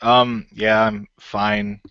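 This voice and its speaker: A man's voice: on the low side, flat delivery, extremely slow, clean tone, no room sound, highs cut off. Man playing a laid-back, goofy shapeshifter dude. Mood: hesitant, reassuring. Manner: hesitant, colloquial, easygoing. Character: David, laid-back goofy shapeshifting male hero, often a genie.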